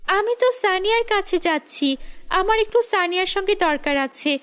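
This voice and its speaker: A studious young schoolgirl from a kids' cartoon played by a woman. The voice is very high. She speaks plainly and firmly. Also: animated, normal speed, fairly bright tone. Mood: earnest. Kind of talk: children's cartoon storytelling.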